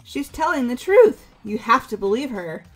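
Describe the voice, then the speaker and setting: A woman's voice: fairly high pitch, very animated, moderate pace, breathy edge, faint music behind, poor audio. A woman voicing a loyal, emotional teenage girl in a visual novel game, pleading and stammering. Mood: defensive, pleading.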